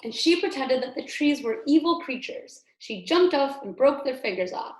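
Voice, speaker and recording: In an echoey room, a woman with a slightly high voice reads a storybook aloud to young children. She is a kindergarten teacher, theatrical in delivery and careful to emphasize and explain. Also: lively intonation, moderate pace.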